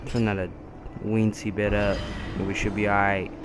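A man with a nasal voice and a cold, commenting casually and excitedly on his gameplay, swearing often. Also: lowish voice, normal speed, very noisy. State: has a cold. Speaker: gamer. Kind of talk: casual let's play commentary. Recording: loud game music behind.

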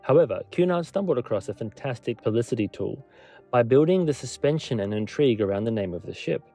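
A man's voice: mid-range voice, mellow, breathy edge. A man presenting a scripted history documentary as a narrator and lecturer. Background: background music.

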